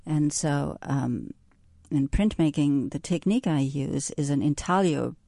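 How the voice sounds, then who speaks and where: A woman's voice: low voice, unhurried, vocal fry, mellow, no echo, studio quality. A woman, a visual artist, answering interview questions thoughtfully, hesitating and searching for words. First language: German.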